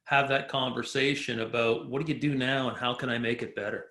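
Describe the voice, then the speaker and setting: Bright-voiced male host, echoey room. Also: medium pitch, steady tone, a little fast. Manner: upbeat, conversational, uses "you know" often. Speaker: podcast host. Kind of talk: business interview host.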